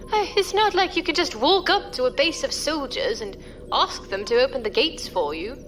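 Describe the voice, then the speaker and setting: A woman's voice: high voice, animated, normal speed, a bit bright, soft background music. An actress playing a curious, playful female code breaker and pirate sidekick in an audio drama, with a questioning manner. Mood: incredulous.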